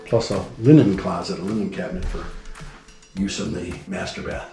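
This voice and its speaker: A man with a fairly low, creaky voice gives an informative house tour. He talks plainly, like a builder going through features. The sound is echoey, with music playing underneath. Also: very animated, normal speed, dark tone. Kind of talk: explanatory house tour narration. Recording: roomy indoor echo with music behind. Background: background music.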